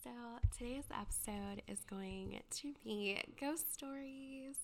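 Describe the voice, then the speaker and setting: A young woman with a stuffy cold, chatting softly and half-whispering as a ghost story podcaster, rambling with many fillers and a girly voice. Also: fairly high pitch, takes it slowly, a little hiss or hum. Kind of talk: soft whispered casual chat.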